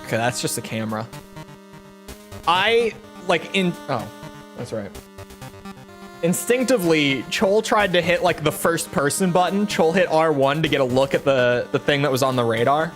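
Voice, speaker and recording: A man giving playful let's play commentary, chatty and quick to laugh. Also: a little high, lively intonation, fairly bright tone, soft background music. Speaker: video game streamer.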